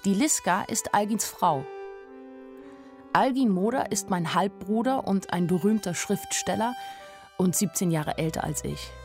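A woman's voice: mid-range voice, expressive, unhurried, soft background music. An actress playing a candid, naive nineteen-year-old woman who tells her own story plainly in a radio drama. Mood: matter-of-fact. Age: young adult. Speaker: actress narrating as the main character. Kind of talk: radio drama first-person narration.

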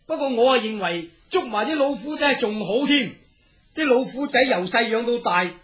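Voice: mid-range voice, animated, normal speed, fairly bright tone, natural voice. An actor playing a cocky, playful young prince in a radio drama, with a breezy, emphatic delivery. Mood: boastful excitement. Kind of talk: Cantonese radio drama acting.

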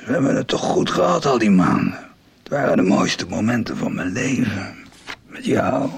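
An actor in a radio soap playing a weary, dying older writer, exhausted and breathy, speaking tenderly as a father. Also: on the low side, wildly swooping pitch, moderate pace, full character voice. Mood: wistful contentment. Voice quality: breathy, raspy whisper breaking into voice. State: exhausted, weakened, whispery.

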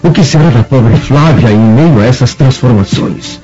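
Man playing a mellow, dignified Roman nobleman. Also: mid-range voice, close to an everyday voice. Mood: grave concern. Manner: measured, formal. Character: calm, dignified mature Roman nobleman, reassuring friend. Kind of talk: Brazilian radio drama acting. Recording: dull lo-fi radio drama, faint music.

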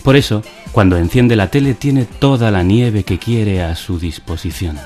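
An actor with a Spanish accent from Spain playing a deadpan narrator in a surreal satirical radio drama, speaking in clipped, declamatory phrases. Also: male voice, on the low side, normal speed, close to an everyday voice. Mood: ironic, intense. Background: music behind the voice.

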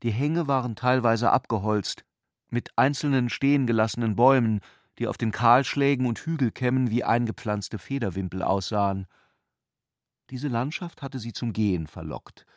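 A man performing as the contemplative narrator of a literary radio drama, with deliberate, reflective phrasing. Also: medium pitch, no echo, studio quality, natural voice.

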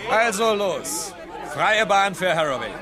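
An actor playing an eager, cheeky reporter in a radio play, out in the street. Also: male voice, high voice, big pitch swings, measured pace. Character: pushy male reporter in a crowd. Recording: loud street crowd and noise.

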